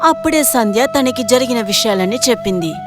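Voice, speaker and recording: A woman with a high-pitched voice narrates a scripted moral tale for an animation. Music runs clearly behind the narration. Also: expressive, brisk.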